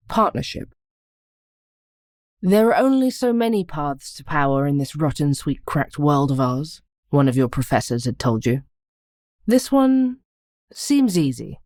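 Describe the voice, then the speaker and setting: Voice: medium pitch, darkish tone, no room sound, clean studio sound. A narrator reading literary fiction aloud in an audiobook style, addressing the listener directly. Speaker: narrator of a short story podcast.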